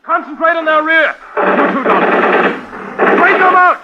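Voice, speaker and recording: An actor playing a commanding fighter who shouts battle orders in a radio drama. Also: male voice, very high voice, lively intonation, moderate pace. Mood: urgent. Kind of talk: 1950s radio drama acting. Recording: very noisy, dull old radio recording.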